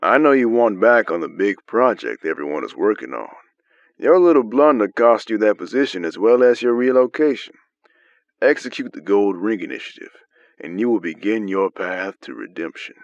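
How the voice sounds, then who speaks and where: A man's voice: fairly low pitch, expressive. Man playing a smug scheming villain, echoey sound. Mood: persuasive, scheming. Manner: smug, unhurried, theatrical. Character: smug, scheming mysterious male manipulator. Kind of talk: audio drama acting. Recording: dull lo-fi, roomy echo.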